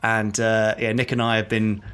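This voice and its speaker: A man's voice: lowish voice, level tone, normal speed, fairly bright tone, clean tone, soft background music. A man co-hosting a podcast, casually chatting through news items. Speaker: podcast co-host.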